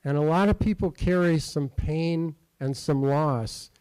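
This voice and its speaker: A man's voice: on the high side, a little slow, a little mellow, clean tone, rough recording. A man giving short, earnest public remarks at an event.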